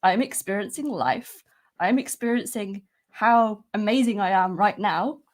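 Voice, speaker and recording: A woman's voice: mid-range voice, a little slow. A woman hosts a casual interview, speaking in an encouraging, upbeat way with frequent giggles. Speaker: host.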